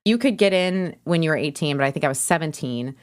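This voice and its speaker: A woman guest on a podcast tells a casual story in a chatty, conversational way. Her voice sits fairly low, and the sound is dry with little room around it. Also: lively intonation, quick pace, clean tone, no echo. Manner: chatty, peppered with "like", laughing as she talks.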